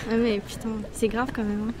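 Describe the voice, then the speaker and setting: A young woman talks softly and very quickly in a slightly high voice. She sounds informal and slangy, hosting a casual quiet chat. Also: little pitch movement, a crowd in the background. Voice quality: soft, low-volume voice. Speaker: host of an ASMR channel. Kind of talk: soft-spoken casual chat.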